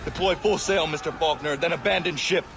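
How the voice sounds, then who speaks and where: An actor playing a determined, grave young ship captain in a video game, giving terse, formal commands. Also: male voice, fairly high pitch, fairly quick, close to an everyday voice. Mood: urgent, resolute.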